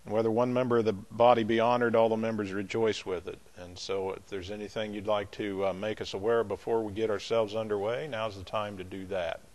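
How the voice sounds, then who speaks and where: A man's voice: lowish voice, little pitch movement, a little mellow. A man leading a Bible study as pastor, chatting in a folksy, easygoing way between readings. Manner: folksy, chatty asides with light laughs; measured, emphatic scripture reading.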